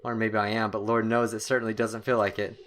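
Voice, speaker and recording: A young man hosting a horror game playthrough, reading the story text aloud in an excitable, dramatic way and stumbling now and then. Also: on the low side, fast, noisy background. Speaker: gaming video host.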